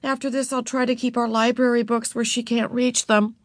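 Woman playing an earnest, high-voiced older sister. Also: flat delivery, fairly quick, no room sound, studio quality, natural voice. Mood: apologetic, hesitant.

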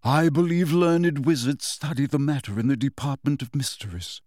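A man narrator puts on a wistful storybook ghost, gentle and evasive. The speech is slow, formal and mournful, with hesitant phrasing, recorded close and dry. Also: medium pitch, dry recording, lightly acted. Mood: gentle, sad.

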